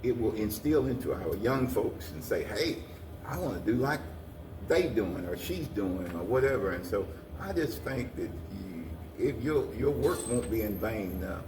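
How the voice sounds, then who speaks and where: A man's voice: fairly low pitch, lively intonation, a little slow. A man giving earnest, rambling interview answers, often repeating his phrases. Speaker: guest, community organization president. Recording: echoey, distant mic, noisy room.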